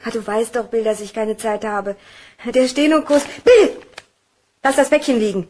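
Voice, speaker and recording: An actress playing a nervous, hesitant young secretary, earnest and uneasy, in a crime radio play. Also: female voice, a little high, moderate pace, a little mellow, a little hiss or hum, natural voice. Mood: flustered, evasive.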